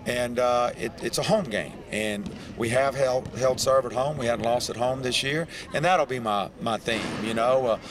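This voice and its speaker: An older man, a basketball head coach, answering post-game questions courtside in a calm, halting way with many restarts. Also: medium pitch. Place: indoor basketball arena, courtside. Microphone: handheld reporter mic. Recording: crowd noise in a large arena. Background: arena crowd.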